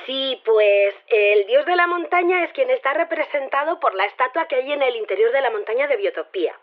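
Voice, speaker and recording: An earnest woman being interviewed in an audio drama. She is flustered and argumentative, stumbling over her words in a slightly high voice. The sound is tinny and narrow, like a phone line. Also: lively intonation, fairly quick. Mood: earnest, explanatory. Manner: hesitant, stammering, argumentative. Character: Sofía, earnest flustered believer in a mountain-god community theory.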